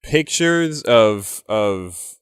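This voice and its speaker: A man's voice: fairly low pitch, expressive, very slow, clear, resonant tone, no echo, clean studio sound. A man talking casually as a player in a tabletop role-playing game.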